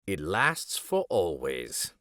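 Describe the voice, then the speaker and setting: A man's voice: low-pitched, huge pitch swings, takes it slowly, a bit bright, dry recording, clean studio sound, pitched below the performer's own voice, heavily acted. A man voicing an old, shabby, wise toy horse in a storybook, explaining things patiently and truthfully. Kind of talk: storybook character voice.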